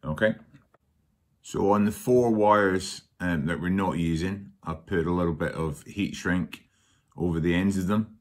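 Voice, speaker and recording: A man with an extremely low voice talks through a DIY job at home. His delivery is informal and matter-of-fact, with a halting, hesitant way of putting sentences together. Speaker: DIY workshop presenter. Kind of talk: informal hands-on electronics tutorial. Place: indoors at a dining table at home.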